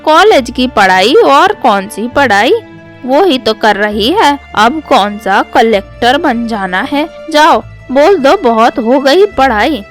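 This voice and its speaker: A woman's voice: high voice, lively intonation, slow, soft background music. A woman voicing a middle-aged mother-in-law, motherly, bossy and easily riled, in a storybook tale. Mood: irritated.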